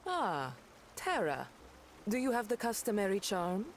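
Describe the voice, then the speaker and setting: A poised fairy who keeps an apothecary in a video game. The voice is mid-range and animated, polite, with faint noise behind. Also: female voice, medium pitch, a little slow. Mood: poised greeting.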